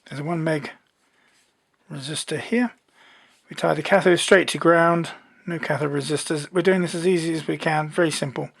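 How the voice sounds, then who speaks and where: The voice sits at a middle pitch and belongs to someone presenting a hands-on electronics demonstration. The delivery is informal and unhurried. Each step is explained plainly as it is shown. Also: fairly even intonation, vocal fry.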